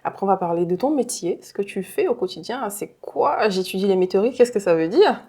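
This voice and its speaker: A woman's voice: medium pitch, animated, fairly quick, dark tone, slightly rough. A woman hosting a studio interview, chatty and playful, opening with a teasing intro before asking questions. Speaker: interview host. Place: studio set.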